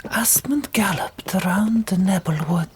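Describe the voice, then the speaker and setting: A woman narrating an audiobook in a whispered ASMR style, with deliberate, dramatic storytelling. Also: mid-range voice, expressive, slight background noise.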